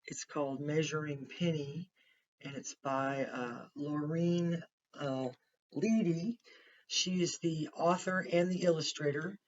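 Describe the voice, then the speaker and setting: A woman's voice: deep voice, slow pace, dull audio, a little hiss or hum. A woman kindergarten teacher reading a picture book aloud to young children and explaining it simply as she goes.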